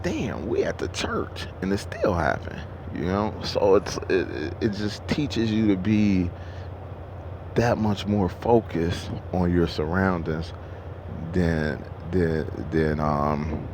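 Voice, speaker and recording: Deep gravelly man, 30s, trucker, profane rambling vlog talk, noisy truck stop behind. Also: lively intonation, takes it slowly. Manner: rambling and profane, with many restarts and fillers. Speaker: truck driver. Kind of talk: casual monologue to camera.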